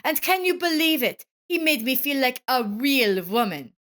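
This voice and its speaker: A woman voicing an unfaithful, sharp-tongued wife with an emphatic, confrontational manner in a narrated story. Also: high voice, big pitch swings, moderate pace, voice pushed up. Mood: defiant, angry.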